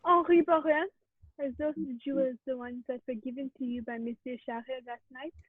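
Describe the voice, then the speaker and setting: Woman playing very high-voiced police prefect, tinny sound. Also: steady tone, moderate pace, slightly rough. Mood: formal, questioning. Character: French male police prefect, official, commanding. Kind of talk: 1940s radio play acting. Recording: tinny, noisy phone-like line.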